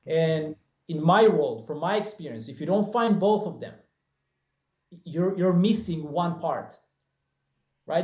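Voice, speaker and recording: A man's voice: high voice, warm tone. Israeli man, roomy dull video-call sound. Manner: earnest, motivational, gestures with hands. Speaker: guest, franchise business owner. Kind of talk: interview answers. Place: bare room at home. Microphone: webcam on video call.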